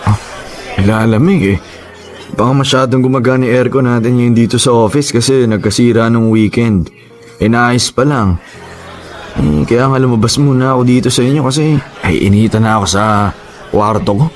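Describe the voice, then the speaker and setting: Isang aktor na gumaganap bilang mabait at maalalahaning amo sa opisina, kaswal ang pananalita sa dramang panradyo. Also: male voice, mid-range voice, moderate pace, long pauses, soft background music, close to an everyday voice. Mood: concerned, easygoing. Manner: casual, hesitant, humming interjections. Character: concerned, kindly male office boss. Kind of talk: Tagalog radio drama acting.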